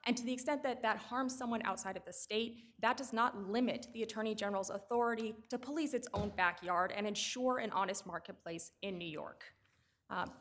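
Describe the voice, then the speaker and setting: A woman in a mid-pitched voice argues an appeal in a courtroom. She speaks in a measured way and leans on frequent "um" fillers. Her voice is picked up by a podium mic. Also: a bit bright. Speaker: appellate attorney. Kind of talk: oral argument.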